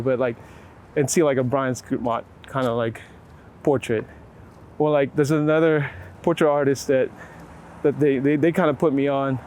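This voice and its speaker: A man's voice: on the high side, darkish tone. A middle-aged man giving casual, reflective interview answers, often rewording his thoughts as he goes. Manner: halting, filler-heavy phrasing with frequent rewording. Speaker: photographer being interviewed. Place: outdoors in a city. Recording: outdoor city traffic noise. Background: urban ambience, vehicles.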